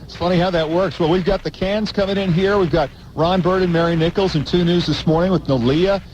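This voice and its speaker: A man's voice: sits high, steady tone, fairly quick, a little mellow, muffled recording, a little hiss or hum. An American man co-hosting a morning radio show live on location, chatting and reading station idents. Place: outdoors at a cold supermarket event. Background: music bed.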